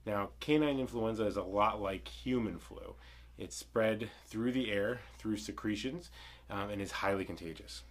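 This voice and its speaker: A man in his thirties, a veterinarian getting over a cold, gives a plain, measured explanation to his clinic's clients. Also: fairly low pitch. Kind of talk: informational clinic video.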